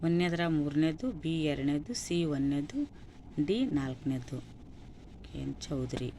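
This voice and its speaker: A woman's voice: sits low, animated, takes it slowly, mellow, slight background noise. A woman with a North Karnataka accent reading out exam questions and answers like a teacher, item by item. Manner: instructional, listing answers item by item.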